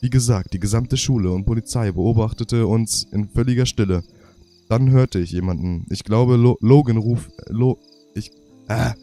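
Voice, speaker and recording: A man's voice: fairly low pitch, normal speed, mellow. A young man with a cold and a half-blocked nose, reading game diary pages aloud for his let's play and stumbling over words. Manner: stumbling, self-correcting reading. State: congested with a cold.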